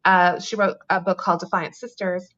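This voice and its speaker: A woman's voice: fairly low pitch, steady tone, a little fast, fairly bright tone, muffled recording. A woman author answering interview questions over a remote call, thoughtful and chatty, with frequent ums and light laughs. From Canada. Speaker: author guest.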